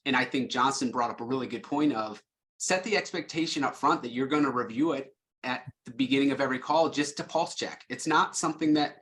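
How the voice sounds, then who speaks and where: Mid-pitched man in panel conversation. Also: fairly bright tone. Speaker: sales team leader on panel.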